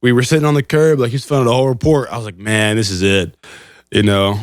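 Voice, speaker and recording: A man's voice: medium pitch, steady tone, quick pace, smooth, no room sound, studio quality. A young man co-hosting a podcast, chatting and telling stories in a laid-back, slangy way. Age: young adult. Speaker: podcast co-host. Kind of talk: casual storytelling chat.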